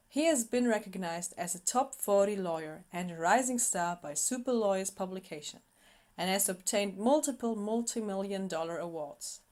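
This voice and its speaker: A woman's voice: mid-range voice, darkish tone. Woman hosting a podcast. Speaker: podcast host. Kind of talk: scripted sponsor read and casual interviewing.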